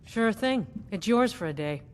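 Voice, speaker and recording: Female, actress playing welcoming game innkeeper, very lively intonation, long reverb, some background noise. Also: mid-range voice, normal speed, close to an everyday voice. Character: hospitable female tavern innkeeper. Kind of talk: video game character dialogue.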